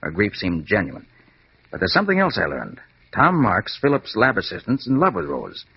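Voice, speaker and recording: An actor playing a confident, shrewd master detective in an old radio drama, speaking with brisk authority. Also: male voice, fairly low pitch, huge pitch swings, creaky, husky, full character voice. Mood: brisk, deductive. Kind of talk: 1940s-50s radio drama acting. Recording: muffled, noisy vintage radio recording.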